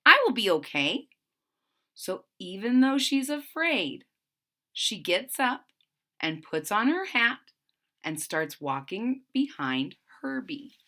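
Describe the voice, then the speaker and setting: A woman reading aloud to children, expressive and explanatory. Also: mid-range voice, slow. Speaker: storybook reader.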